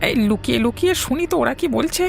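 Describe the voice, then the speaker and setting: High, creaky anxious villager. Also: female voice, lively intonation, fast talker. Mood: curious. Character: anxious eavesdropping village woman.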